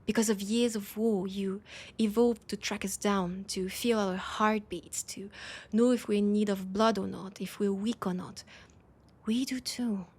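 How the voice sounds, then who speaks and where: A woman acting out a proud vampire lady with a French accent. Her voice is husky and frayed with gravelly creak at the edges. She speaks earnestly and haltingly, worried but dignified, as in an intimate audio drama. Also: medium pitch, fairly even intonation, a little mellow. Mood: reluctant, pleading. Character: proud, worried female vampire of a supernatural council. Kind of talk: intimate scripted audio roleplay.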